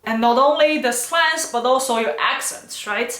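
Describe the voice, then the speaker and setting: Woman from China, Chinese accent, fairly high voice, chatty vlogger asking "right?" a lot, recorded in an echoey room. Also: normal speed, fairly bright tone. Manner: chatty, lots of "you know" and "right?" tags. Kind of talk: casual advice vlog.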